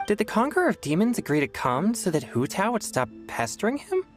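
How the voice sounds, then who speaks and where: A woman's voice: mid-range voice, huge pitch swings, brisk. A woman voicing an earnest, awkward young boy exorcist in a game, easily flustered. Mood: curious. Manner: indignant, awkward. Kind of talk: animated game cutscene voice acting. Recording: music under the voice.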